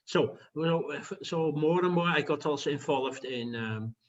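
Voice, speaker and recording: Man, older, Dutch accent, slightly high voice, halting fillers, lo-fi call sound. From Netherlands. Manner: halting, many fillers and restarts. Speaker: guest lecturer, physician. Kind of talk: online lecture. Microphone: video call.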